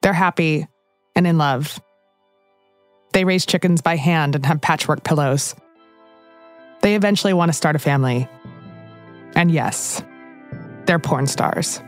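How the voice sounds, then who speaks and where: A woman hosting a podcast, calmly narrating a scripted story. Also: deep voice, slow pace, pauses often, faint music behind. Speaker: podcast host.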